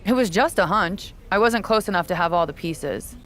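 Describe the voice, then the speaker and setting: A woman playing a calculating veteran wrestler in a video game cutscene, talking in a cool, condescending, measured way. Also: lowish voice, animated, close to an everyday voice.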